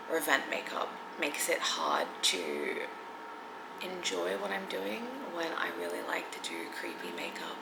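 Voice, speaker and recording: Australian woman, 30s, shy vlogger, creaky tone, roomy noisy home recording. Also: fairly low pitch. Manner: hesitant, many ums, soft giggles, drops to near whisper at times. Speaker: content creator. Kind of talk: casual get-to-know-me vlog. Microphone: camera mic.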